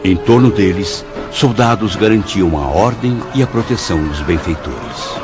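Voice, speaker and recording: A man's voice: low voice, animated, normal speed. Anguished condemned man. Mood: grave. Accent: Brazilian. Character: anguished condemned man recounting his torment, wary, pleading. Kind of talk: dramatic radio-novel acting and narration. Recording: dull radio-drama recording under music.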